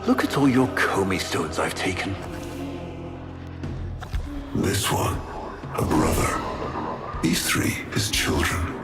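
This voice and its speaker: An actor playing a cruel, aristocratic male villain in a video game, measured and theatrical, mocking and menacing. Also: sits low, very animated, exaggerated voice. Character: silky, cruel aristocratic male villain, coldly grieving creator. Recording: loud music under the voice.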